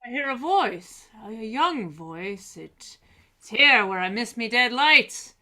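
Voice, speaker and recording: A woman's voice: fairly high pitch, expressive, pushed and bright, heavily acted. A woman playing a menacing old blind beggar sailor in a radio play reading, with a fawning manner that can turn threatening. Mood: wheedling. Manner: slow, fawning turning threatening.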